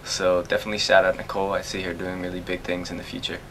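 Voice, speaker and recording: A man's voice: low voice, completely flat delivery, quick pace, very noisy. A young man in a recording studio, talking enthusiastically and casually. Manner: enthusiastic, laughing. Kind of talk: casual praise to camera.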